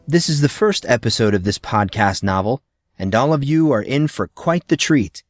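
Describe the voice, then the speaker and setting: A man's voice: mid-range voice, lively intonation, moderate pace, dry recording, studio quality. A man reading a fantasy novel aloud as narrator, in a friendly storytelling manner. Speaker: audiobook narrator.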